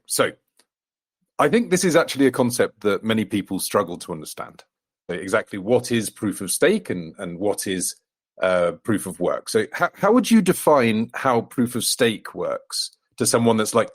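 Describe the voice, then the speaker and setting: A man's voice: fairly low pitch, big pitch swings, moderate pace, breathy edge. A man hosting a crypto podcast interview, enthusiastic and encouraging, often backing up his guest with short replies. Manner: enthusiastic, encouraging, with frequent backchannels. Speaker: podcast host, co-founder.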